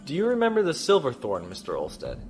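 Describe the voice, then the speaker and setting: A man playing a calm, shrewd starship captain in a science fiction audio drama, speaking in a measured, deliberate way. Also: fairly high pitch, soft background music. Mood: resolute.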